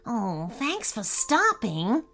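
A woman's voice: on the high side, wildly swooping pitch, takes it slowly, bright, forceful tone, soft background music, heavily acted. A woman voicing a friendly, polite male werewolf in a children's storybook, easygoing and grateful. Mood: grateful, weary.

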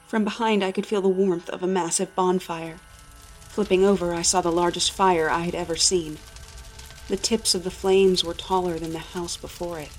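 Low-pitched woman narrating horror over music. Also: poor audio. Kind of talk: dramatic first-person horror narration.

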